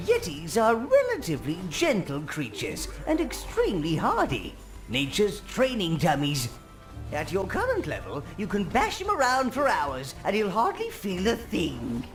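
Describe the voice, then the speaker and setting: An actor playing a wizened, gleefully evil old minion advisor in a video game, with a croaky voice and a theatrical, menacing relish. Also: male voice, high voice, wildly swooping pitch, a little slow, faint music behind, heavily acted, rough recording. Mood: dry instructing. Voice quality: gravelly, croaky. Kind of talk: video game character narration.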